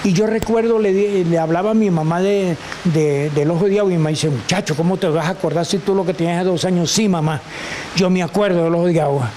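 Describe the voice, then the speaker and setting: An older man with a Venezuelan accent, a guest telling animated stories and acting out the voices of people he quotes. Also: high voice, fast talker, a little hiss or hum. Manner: expressive, acts out quoted voices, laughs often. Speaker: guest, actor and teacher. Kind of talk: animated storytelling.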